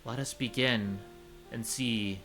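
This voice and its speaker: A man streaming a visual novel, reading its story aloud and reacting in a chatty, expressive way. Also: mid-range voice, slow, faint music behind. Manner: chatty, expressive, swears casually. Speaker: let's play streamer.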